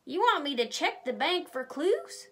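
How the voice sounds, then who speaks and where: A woman's voice: sits high, very animated, a little slow, fairly bright tone, pitched above the performer's own voice, full character voice. A woman voicing a dim, eager young male deputy in a children's storybook, cheerful and literal-minded.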